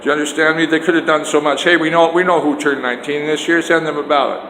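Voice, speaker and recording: An older Canadian man vlogging about politics, talking loudly and emphatically, often indignant, and recording himself on a phone on a selfie stick. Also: on the high side, fairly even intonation, quick pace. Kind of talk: rambling political vlog. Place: outdoors then indoor stairs and hallway. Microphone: handheld phone on a selfie stick. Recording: echoey hallway with long reverb and noise.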